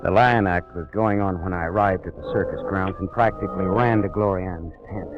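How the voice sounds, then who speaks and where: Actor as a deep-voiced hardboiled private eye. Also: male voice, brisk, lightly acted. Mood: tense narrating. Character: hardboiled male private eye, wry, rattled, narrating his case. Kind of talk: 1940s radio noir acting. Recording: muffled 1940s radio recording with music.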